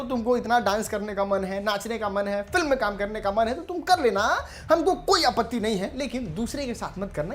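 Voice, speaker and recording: A woman giving informal gossip commentary to viewers in a dramatic, emphatic, teasing manner. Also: fairly high pitch. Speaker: commentary channel host.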